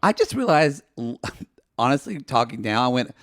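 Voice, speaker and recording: A man co-hosting a podcast in casual, crude, joking chat, his voice husky from talking a lot. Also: mid-range voice, very animated, a little slow, a bit bright, no echo, clean studio sound. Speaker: podcast co-host.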